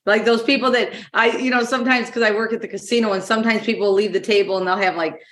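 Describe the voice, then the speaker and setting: A woman's voice: medium pitch, steady tone, fast talker, a bit bright, a little hiss or hum. A woman hosting a casual interview in an informal, encouraging way, recorded with a roomy echo. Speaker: interview host. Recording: roomy echoing sound.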